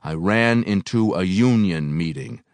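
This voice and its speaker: A man's voice: low-pitched, a little slow, no room sound. A man voicing a tense, bewildered younger worker in dramatic audiobook dialogue, speaking urgently and crying out. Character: tense, bewildered younger male worker, pleading then defiant.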